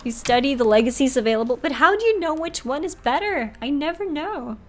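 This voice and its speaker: A woman's voice: sits high, animated, a little fast. A woman hosting a live game stream, talking casually and expressively and reading bits aloud in a chatty, giggly way.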